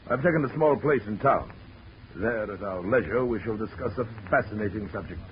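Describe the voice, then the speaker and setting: An actor playing a suave, menacing gentleman villain in his fifties, pompous and courtly, in 1940s radio drama style. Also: on the low side, fairly quick, close to an everyday voice. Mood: smooth command. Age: 50s. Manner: pompous, courtly, mock-genial. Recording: muffled, noisy 1940s radio recording.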